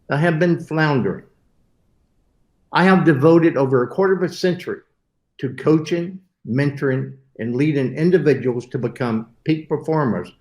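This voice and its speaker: Earnest older man, roomy sound. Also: medium pitch. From southern United States. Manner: earnest, with occasional self-corrections. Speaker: webinar host. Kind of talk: prepared webinar talk. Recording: roomy echo.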